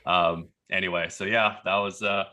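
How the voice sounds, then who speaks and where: A man from Texas tells a story on a podcast, loose and conversational. His voice sits low but has a bright, forward edge to it. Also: moderate pace. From Texas, USA. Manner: relaxed, chatty, laughs at the absurd parts. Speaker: podcast guest. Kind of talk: casual storytelling.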